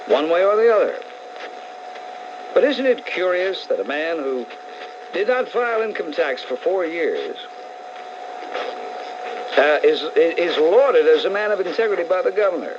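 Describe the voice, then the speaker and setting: Blunt, sarcastic New York radio host. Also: male voice, on the high side. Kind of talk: combative call-in radio talk. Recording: dull 1980s AM radio recording, faint hiss.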